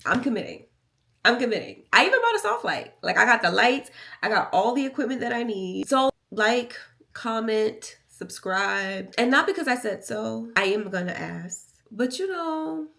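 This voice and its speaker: An American woman chatting casually and candidly to her vlog audience, playful and emphatic, with a slightly raspy, nasal voice. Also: fairly high pitch, big pitch swings, normal speed, sharp tone. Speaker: vlogger. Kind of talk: casual confessional vlog chat.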